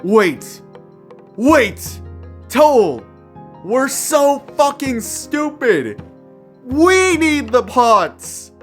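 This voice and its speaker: Man acting out an excitable, high-pitched gamer buddy. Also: soft background music, heavily acted. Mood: dawning alarm. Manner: urgent, exclaiming, drawn-out words. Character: excitable, scheming male gamer friend, easily alarmed.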